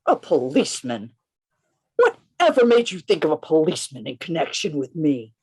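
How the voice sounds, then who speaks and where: An actor in a radio play reading, playing an undercover policeman who tells his own story in a deliberate, theatrical manner. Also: mid-range voice, huge pitch swings, darkish tone, breathy edge, heavily acted. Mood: feigned innocence. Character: Gabriel Syme, tense undercover policeman telling his story. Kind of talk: dramatic first-person radio play narration. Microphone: video call.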